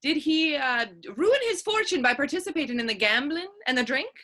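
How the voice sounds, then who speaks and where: An actress playing a sassy, quick-witted young Irish woman in a stage play reading, saucy and challenging in folksy phrases. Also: high-pitched, animated, pushed and bright. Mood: inquisitive. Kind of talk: stage readers theater dialogue.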